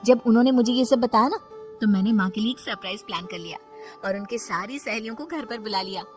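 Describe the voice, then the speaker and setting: Cheerful, devoted young bride voiced by a woman, high pitch, family story drama over music. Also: fast, lightly acted. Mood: explaining. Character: cheerful, devoted young daughter-in-law and wife. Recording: music under the voice.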